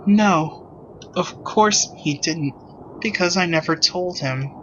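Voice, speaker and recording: A man's voice: sits high, slow pace, dull audio, a little hiss or hum, voice pushed up. A man voicing a flustered, defensive teenage tsundere girl in a visual novel reading, indignant and emotional. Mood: anguished.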